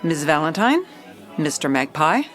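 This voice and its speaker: A woman's voice: low voice, big pitch swings, measured pace, a bit bright, faint music behind, full character voice. A woman playing a jaded, hard-boiled police commissioner, narrating a noir audio drama in a dry, world-weary, stern way. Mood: tense, wry.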